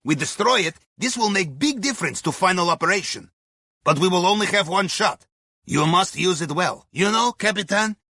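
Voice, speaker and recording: An actor playing a jovial, crafty Russian submarine captain in a video game, planning heists in a wry, conspiratorial way with a raspy voice and clipped non-native phrasing. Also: male voice, high-pitched, sharp tone, no echo, clean studio sound, full character voice. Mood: wry, genial. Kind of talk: video game mission dialogue.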